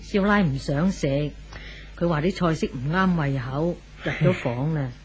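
An actress playing a deferential, solicitous household servant in a 1970s radio drama. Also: female voice, low voice, a little slow. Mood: respectful. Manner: solicitous, respectful. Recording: dull, noisy old radio tape.

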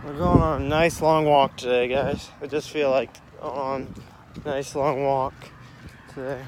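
A man's voice: medium pitch, fairly even intonation. The young guy you'd hear filming himself on an aimless stroll down a sidewalk, unhurriedly narrating whatever comes by, a little traffic murmuring around him. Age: young adult. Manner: repetitive, unhurried narration of surroundings. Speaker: amateur vlogger. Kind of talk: casual rambling walk-along vlog. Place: outdoors, walking along a street and pier trail. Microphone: handheld phone at arm's length. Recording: noisy outdoor phone recording with traffic.

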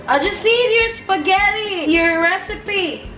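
Chatty mom vlogging at home. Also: female voice, very high voice, takes it slowly, sharp tone, faint music behind, low-quality recording. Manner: chatty, mixes English and Tagalog, laughs often. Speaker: family vlogger. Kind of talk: casual home vlog. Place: apartment kitchen and living room.

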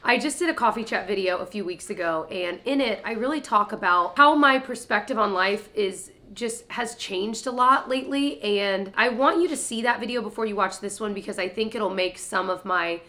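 A woman's voice: mid-range voice, moderate pace, few pauses, sharp tone. A young woman vlogger chatting casually to camera, upbeat and laughing often, her voice nasal from a stuffy nose. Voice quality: nasal from congestion. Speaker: lifestyle vlogger.

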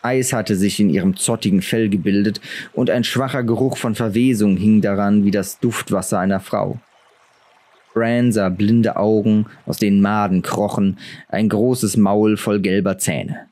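A man's voice: on the low side, fairly even intonation, unhurried, warm tone. A man reading a fantasy novel aloud as a dramatic audiobook narrator.

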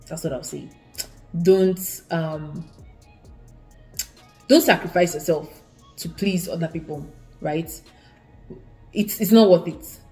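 A woman's voice: lowish voice, mellow. A young woman from Nigeria with an Igbo accent, giving earnest, casual advice to camera in a living room and often restarting her sentences. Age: young adult. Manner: earnest, repeats herself, many restarts. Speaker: student vlogger.

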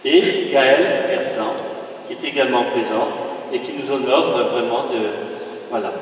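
A man's voice: mid-range voice, unhurried. A middle-aged man giving a formal speech with a handheld mic at an exhibition opening, with hesitant fillers. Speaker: parish speaker presenting an exhibition. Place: large echoing chapel hall, indoors. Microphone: handheld microphone through a PA, recorded from afar. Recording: echoey hall from far off.

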